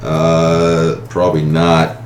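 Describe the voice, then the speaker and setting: A man's voice: a bass voice, monotone, slow pace. A man running a tabletop role-playing game as dungeon master, calling turns and rolls in a matter-of-fact way. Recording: roomy room with faint hiss.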